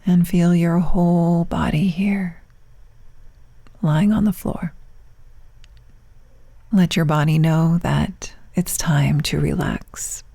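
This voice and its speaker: A woman leading a guided meditation as a yoga nidra teacher, speaking in hushed, soft phrases with long pauses, turning breathy at phrase ends. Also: low voice, no room sound. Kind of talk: guided meditation, soothing instruction.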